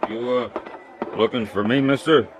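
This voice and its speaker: An actor playing a sullen, simple-minded but smug killer, speaking in blunt, halting broken English in an old radio crime drama. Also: male voice, mid-range voice, noisy background, natural voice. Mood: wary, subdued.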